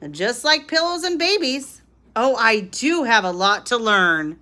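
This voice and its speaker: A woman's voice: a little high, lively intonation, unhurried, sharp tone. A woman reading a picture book aloud as a bedtime story for children, expressively, with small reacting asides to listeners.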